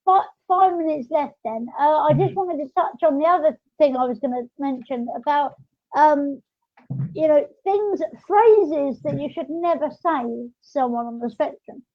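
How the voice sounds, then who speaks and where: High-pitched podcast host, muffled sound. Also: lively intonation, moderate pace, dry recording. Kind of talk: casual podcast chat. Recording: muffled lo-fi audio.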